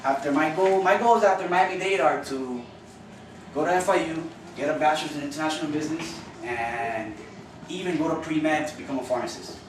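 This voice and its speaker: A man's voice: a little high, brisk. Young guy from cuba doing a class speech, earnest, kinda loose, recorded far off in a noisy echoey classroom. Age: young adult. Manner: earnest, informal, with repeats and restarts. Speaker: college student giving a class speech. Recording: echoey room from far off with noise.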